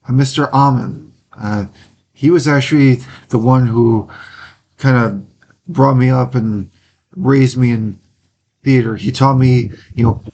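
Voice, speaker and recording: A young man from Wisconsin, an interview guest, talking earnestly in a halting way with many pauses and restarts. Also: medium pitch, a little mellow, rough recording. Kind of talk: casual interview talk.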